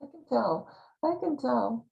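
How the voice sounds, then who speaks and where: A woman hosting a casual video call chat, warm, encouraging and chatty with her guest. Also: fairly high pitch, lively intonation, unhurried, vocal fry, lo-fi sound. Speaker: host.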